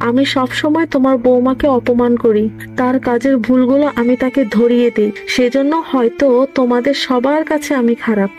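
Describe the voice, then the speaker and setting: A woman's voice: sits high, fairly even intonation, normal speed, darkish tone, soft background music, natural voice. A woman voicing a spiteful, complaining middle-aged mother-in-law in a fairy-tale cartoon, sarcastic and self-pitying. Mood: bitter, sarcastic.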